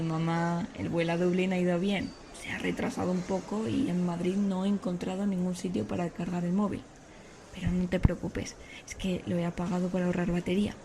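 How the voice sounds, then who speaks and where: An actress playing an eager, chatty young history student from Spain, recording an informal travel vlog in a podcast drama. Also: female voice, mid-range voice, natural voice. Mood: hurried, self-talk. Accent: Spanish from Spain. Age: young adult. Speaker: podcast character, student vlogger. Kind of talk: acted first-person vlog monologue. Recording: clear background noise, ambient sound.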